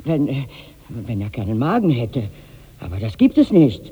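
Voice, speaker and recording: A woman's voice: rumbling low, big pitch swings, normal speed, breathy edge, full character voice. An actress playing a chattering, plain-spoken servant woman, earnest and insistent, in 1960s radio drama style. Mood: puzzled, indignant. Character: fussing, plain-spoken female servant to a rich master. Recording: dull reverberant 1960s radio recording.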